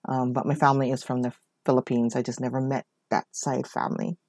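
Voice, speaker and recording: A woman's voice: rumbling low, level tone, fast talker, darkish tone, no echo, clean studio sound. Chatty woman in her forties. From Hawaii. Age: 40s. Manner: rambling, conversational, leans on "you know" and "whatnot". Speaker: podcast host. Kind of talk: personal storytelling monologue.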